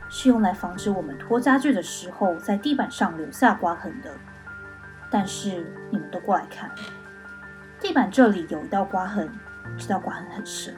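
A woman performs a young female student investigating in a radio mystery. She is cool, deliberate and pointed, in a mid-range voice. Clear background music runs under her words. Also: mid-range voice, natural voice, low-quality recording. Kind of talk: mystery radio drama acting.

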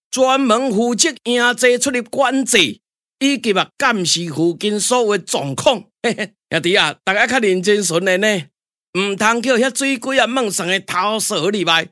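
A man's voice: sits high, moderate pace, pushed and bright, no echo, studio quality. A man with a Taiwanese accent voicing a comic, chatty patrol guard in a puppet radio drama. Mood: jaunty, bossy. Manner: colloquial, clownish chatter. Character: chatty, comic male camp patrol sentry.

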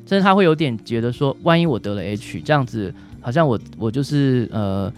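A man's voice: medium pitch, expressive, brisk, faint music behind. A man with a Taiwanese Mandarin accent, a doctor answering as an interview guest, explaining things in a hesitant way with frequent tag particles.

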